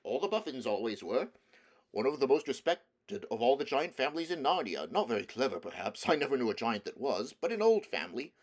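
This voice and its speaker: Guy doing a polite chatty storybook faun, knowing tone, bit higher voice. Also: big pitch swings, a little fast. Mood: knowing, approving. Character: genteel, chatty male faun.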